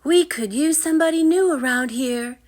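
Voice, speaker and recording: A woman's voice: high voice, normal speed, darkish tone, voice pushed up, natural voice. A woman voicing a friendly, playful young girl in a children's storybook reading. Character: friendly, giggly young girl dragon trainer.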